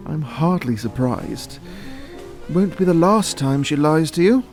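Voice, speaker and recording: A man acting a middle-aged father in an audio drama, smooth in manner, provocative and quietly needling. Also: on the high side, expressive, slow pace, faint music behind. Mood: wry, confiding.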